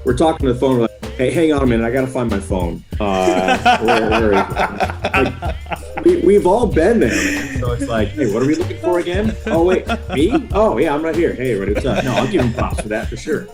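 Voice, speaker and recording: A man's voice: mid-range voice, fairly quick, gravelly, music in the background. A man from New York, a radio announcer chatting casually as a guest and acting out bits of dialogue. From New York, United States. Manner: animated storytelling, acting out quoted dialogue. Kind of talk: casual chat.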